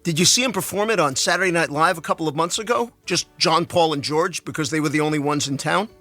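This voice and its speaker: A man's voice: fairly high pitch, quick pace, pushed and bright, faint music behind. A man playing a genial, affable man in a conversational dramatic reading of a novel. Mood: amused, interested. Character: genial married man, politically minded science fiction fan.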